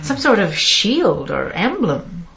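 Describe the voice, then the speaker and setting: An actress playing a curious, composed and gently teasing doctor in an old-time radio mystery. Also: female voice, mid-range voice, very animated, slow, gravelly, exaggerated voice. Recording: muffled, faintly noisy radio recording.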